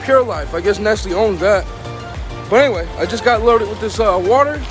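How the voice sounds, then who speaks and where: Trucker vlogger in his thirties, high voice, exasperated and chatty, phone at arm's length, music underneath. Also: animated, fairly quick. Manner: exasperated, chatty, says bro a lot. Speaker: truck driver. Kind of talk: casual vlog to camera. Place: outdoors at farms and loading docks, and in a truck cab. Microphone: phone held at arm's length.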